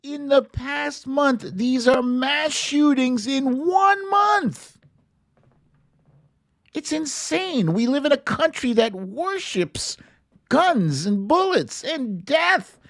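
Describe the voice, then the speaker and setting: A man's voice: very high voice, expressive, takes it slowly, fairly bright tone, no room sound. An American man hosting an online political commentary show, talking casually, bluntly and emphatically, with some swearing.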